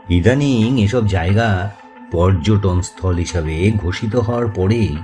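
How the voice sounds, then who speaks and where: A man narrating a scripted ghost story as host, in a measured, deliberate way with suspenseful pauses. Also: deep voice, warm tone. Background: faint music.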